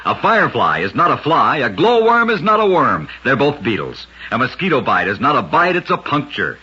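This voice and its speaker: A raspy male radio narrator with a very animated, swooping delivery and a bright edge. He tells short scripted tales with dramatic emphasis, like a host on a vintage broadcast. The sound is dull and lo-fi. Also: medium pitch.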